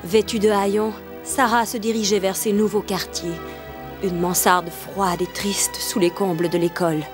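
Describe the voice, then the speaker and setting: A woman's voice: medium pitch, flat delivery, normal speed, lightly acted. A woman narrating a French cartoon in a solemn storybook style. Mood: solemn, sorrowful. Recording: loud music behind the voice.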